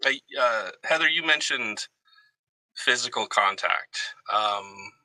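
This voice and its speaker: A man's voice: fairly low pitch, animated, slow, pushed and bright, no echo, rough recording. A man in his forties talking casually and reflectively as a guest, with a self-deprecating, joking manner. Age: 40s. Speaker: guest, Army veteran.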